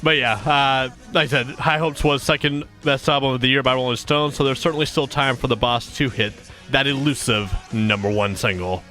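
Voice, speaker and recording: Bright-voiced upbeat male radio host. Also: mid-range voice, steady tone, a little fast, soft background music. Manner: upbeat, laughs often, reads chart facts. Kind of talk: casual radio-style countdown chat.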